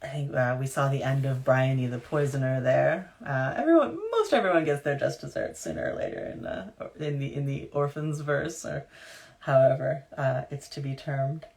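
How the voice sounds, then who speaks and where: Brief: author in her thirties, low gravelly voice, hushed storybook reading then loose live chat at a home webcam. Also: expressive, normal speed. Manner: hushed, whispery reading; chatty with fillers in talk. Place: dim room at home.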